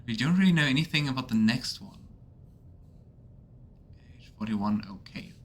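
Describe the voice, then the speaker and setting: A man's voice: medium pitch, lively intonation, normal speed. A man streaming a video game, musing aloud and reading out in-game notes in casual commentary. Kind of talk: casual let's play commentary.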